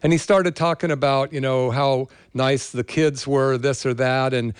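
An older American man talks as a show host in a conversational, meandering way, drifting into personal stories. His voice is smooth and clear at a middling pitch, recorded dry with very little room sound. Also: steady tone, measured pace, no echo. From California, USA. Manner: rambling, conversational. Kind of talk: casual monologue with personal storytelling.

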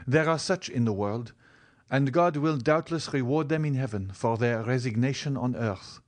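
A man playing a passionate, desperate young gentleman in love in an audiobook, speaking with earnest, formal urgency. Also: mid-range voice, normal speed, pitched above the performer's own voice, lightly acted. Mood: resolute. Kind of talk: dramatic audiobook character acting.

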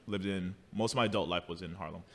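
A man's voice: sits low, fast talker. A man from New City, chatting casually as an interview guest in an easygoing, good-humored way. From New York City. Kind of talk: casual chat. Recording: long reverberant room echo.

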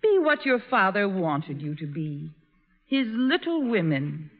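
An actress playing a gentle, earnest middle-aged mother in a 1940s American radio drama. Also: medium pitch, very animated, a little slow. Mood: tender, admonishing. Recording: muffled old radio recording.

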